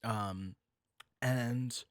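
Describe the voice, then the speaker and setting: A man in a casual podcast chat as a guest, talking in an animated, self-mocking way with free swearing. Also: medium pitch, extremely slow, dry recording, clean studio sound. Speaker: podcast guest, audio drama writer and producer.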